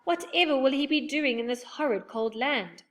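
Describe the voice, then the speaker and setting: A woman's voice: sits high, animated, normal speed, a bit bright, voice pushed up. A woman reading aloud and voicing a fretful young girl in a storybook. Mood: worried.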